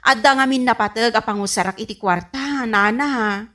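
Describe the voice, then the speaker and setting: A woman's voice: a little high, moderate pace, pushed and bright. A woman playing a stubborn, boastful, spendthrift married daughter with an Ilocano Filipino accent in a comedy radio drama, brash and defiant. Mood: wheedling.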